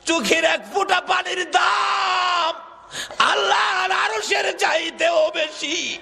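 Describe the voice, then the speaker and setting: A man's voice: very high-pitched, takes it slowly, vocal fry, bright, forceful tone, raised voice, faint music behind. A man preaching an Islamic sermon as dramatic, impassioned storytelling, moving between narration and melodic, tearful cries. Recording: long echoing hall reverb.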